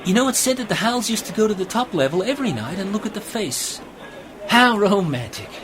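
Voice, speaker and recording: A man's voice: high-pitched, very animated, fairly quick, noisy background. A man playing an eager, bookish friend full of lore in an amateur science fiction radio play, explaining and musing as he goes. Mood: eager, knowing.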